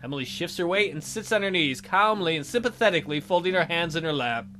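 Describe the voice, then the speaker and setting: Let's player reading story narration, wry side comments, bright n lively, music behind. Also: mid-range voice, fast. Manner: wry, teasing asides. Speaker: let's play host.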